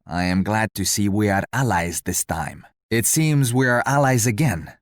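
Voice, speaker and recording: A man acting a stately, low-voiced colonial-era ruler. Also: animated, normal speed, no echo, studio quality, close to an everyday voice. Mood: courteous, pleased. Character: stately, courteous male colonial-era ruler, an allied leader. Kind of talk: scripted video game voice lines.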